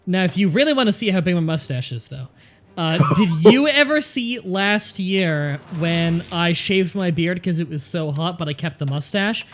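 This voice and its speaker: A man in his twenties, loud and self-deprecating, swearing freely while commentating a strategy game with a friend. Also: high voice, expressive, moderate pace. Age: 20s. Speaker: gaming commentator. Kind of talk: casual gameplay commentary chat.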